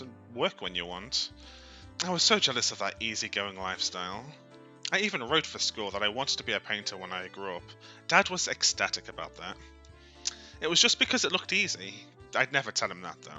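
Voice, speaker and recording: Male streamer, dramatic visual novel narration, very bright and forceful tone, lively swings in pitch, storytelling delivery. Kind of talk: dramatic read-aloud narration.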